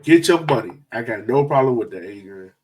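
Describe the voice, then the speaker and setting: Guy on a soccer fan podcast, playful and animated, lots of slang, casual chat. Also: medium pitch, brisk, recorded from a distance, a crowd in the background.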